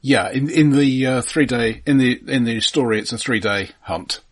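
A man co-hosting a casual film discussion, adding dry, measured, matter-of-fact remarks. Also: medium pitch. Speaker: film podcast co-host.

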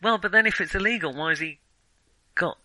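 A man's voice: high voice, fairly quick, pushed and bright, no echo. A man chatting casually as a film podcast host, opinionated and thinking aloud as he reviews.

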